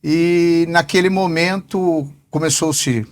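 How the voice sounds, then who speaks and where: A man in his forties, a business director being interviewed, answering in an unhurried way and pausing often on fillers. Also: a little high, steady tone. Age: 40s. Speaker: podcast guest, company sales director.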